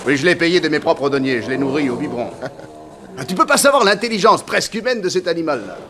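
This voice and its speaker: An actor playing a hearty, fearless tiger tamer, proud and affectionate, with an exuberant, coaxing manner, in a mid-century French radio drama. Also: male voice, on the high side, animated, fast, close to an everyday voice. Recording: noisy old radio drama recording.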